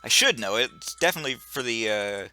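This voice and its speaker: A man's voice: medium pitch, expressive, quick pace, pushed and bright, faint music behind. A man casually commenting as he plays a game, joking at himself and tripping over words. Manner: joking, self-mocking, trips over words. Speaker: let's play gamer.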